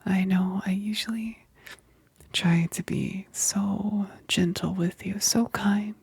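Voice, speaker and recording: A woman playing a female vampire best friend, tender yet menacing, in an intimate ASMR roleplay, whispering breathily and haltingly. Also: medium pitch, steady tone, darkish tone, slightly rough, dry recording, clean studio sound, full character voice. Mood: soothing, apologetic. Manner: slow, halting, intimate, with sighs.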